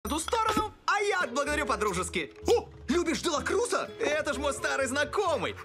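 A scrappy skeleton con man from an animated film, high and all over the place, music under. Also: fairly quick, full character voice. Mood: wheedling. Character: scrappy, fast-talking male skeleton trickster. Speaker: dubbed animated character.

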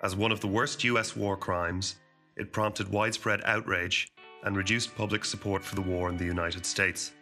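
A male narrator reads scripted, factual documentary copy. His voice is low yet crisp and forward, with faint guitar music behind it. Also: fairly even intonation, moderate pace, sharp tone. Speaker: narrator of a historical note.

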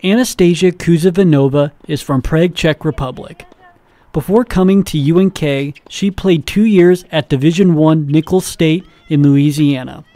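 A man reading a scripted news voice-over as a student reporter, steady and measured. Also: a little high, dry recording.

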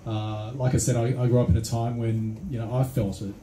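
An earnest Australian man answers at a forum, halting and full of fillers. His tone is very dark and mellow, and the venue around him is echoey with faint noise. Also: medium pitch, flat delivery. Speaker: election candidate on a panel. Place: small venue stage, seated panel.

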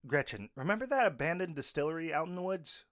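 A man's voice: fairly high pitch, big pitch swings, quick pace, a bit bright, no room sound, clean studio sound. A man playing an easygoing, wry young werewolf in an audio drama, with a casual, conversational delivery. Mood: casual, reminiscing. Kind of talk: audio roleplay drama acting.

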